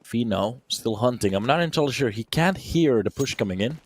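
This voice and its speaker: A man in his thirties, raised in Finland, giving lively solo play-by-play on a shooter match and chatting with viewers between rounds. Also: mid-range voice, fairly quick, husky. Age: 30s. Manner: energetic, chatty, answers viewer questions between plays. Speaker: esports caster. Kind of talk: solo play-by-play commentary with chat replies.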